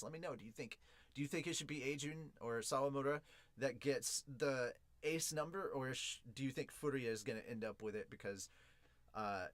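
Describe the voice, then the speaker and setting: A man hosting a podcast in an excitable, informal, slangy way, chatting casually as he reviews and reads out comments. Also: mid-range voice, dry recording.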